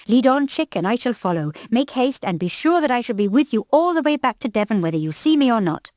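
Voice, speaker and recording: A woman's voice: a little high, sing-song, a little fast, darkish tone, no echo, studio quality, voice pushed up. A woman voicing a riddling old-fashioned ghost cousin. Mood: reassuring. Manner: archaic, riddling speech.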